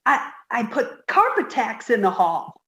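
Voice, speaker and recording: A naive, dim-witted young woman , acted by a woman in a vintage radio comedy, earnest and innocent. The voice is very high and slow, and the recording is echoey. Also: big pitch swings, fairly bright tone. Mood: innocent, eager. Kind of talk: 1950s radio comedy acting. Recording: echoey room.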